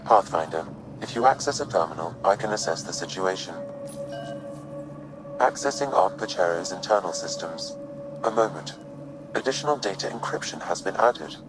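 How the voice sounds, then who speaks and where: An actor voicing a calm, dispassionate artificial intelligence in a sci-fi game, with even, exact, clinical delivery. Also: male voice, low voice, moderate pace, low-quality recording. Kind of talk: cinematic sci-fi game acting. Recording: music behind the voice.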